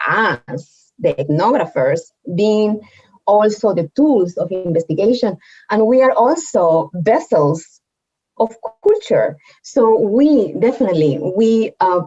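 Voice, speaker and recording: A woman from Spain, a native Spanish speaker with a Spanish accent, telling her personal story in a reflective, halting way. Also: lowish voice, darkish tone, husky. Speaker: guest speaker, medical anthropologist. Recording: dull, lo-fi audio.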